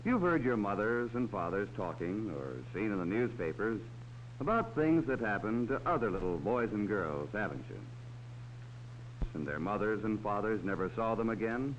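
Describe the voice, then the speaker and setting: A man's voice: fairly low pitch, expressive, moderate pace. A man narrating a 1940s educational film, talking kindly to children as a policeman would. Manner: talks down to children. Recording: dull old film soundtrack with faint hiss.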